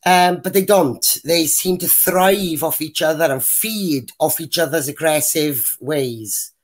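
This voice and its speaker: Woman, deep voice, blunt rambling opinions, video call. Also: takes it slowly, slightly rough. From Wales. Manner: blunt, rambling, mimics others when quoting. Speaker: panel guest. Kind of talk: casual opinionated chat.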